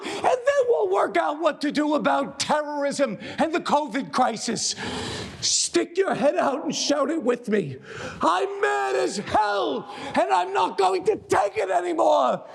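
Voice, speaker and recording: An actor playing an enraged, fed-up TV news anchor who shouts at his viewers in a dramatic monologue. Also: extremely high pitch, creaky, full character voice. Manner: shouting, exhorting, repeating refrains. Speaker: stage actor.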